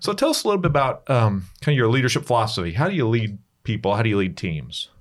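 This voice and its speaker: A man's voice: medium pitch, sing-song, fast talker. A man hosting a casual interview, chatty and jokey, often affirming his guests. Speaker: interview host, county official.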